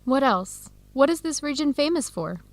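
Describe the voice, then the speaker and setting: Casting: eager young woman student, higher voice, clear simple delivery for learners, close dry radio-drama sound. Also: expressive, normal speed, dry recording. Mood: eager, curious. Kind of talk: scripted educational radio drama dialogue.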